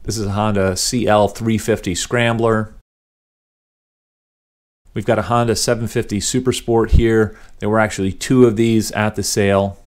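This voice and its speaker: A middle-aged man with a somewhat low voice talks through a voice-over in an easy, conversational manner. He sounds keen and relaxed, like a hobbyist host narrating his own video. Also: normal speed. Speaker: motorcycle channel host. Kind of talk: voice-over narration of a show walkthrough.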